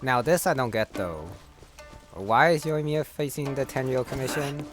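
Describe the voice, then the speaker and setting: A man's voice: medium pitch, moderate pace. Man giving casual game commentary over music. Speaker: gamer, let's play commentator.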